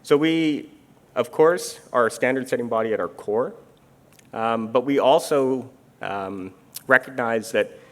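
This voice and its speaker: A middle-aged man from Canada speaking in a measured, explanatory way, with many fillers, in an onstage armchair conversation. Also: mid-range voice. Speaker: international insurance supervision official. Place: conference stage. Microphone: handheld or stage microphone.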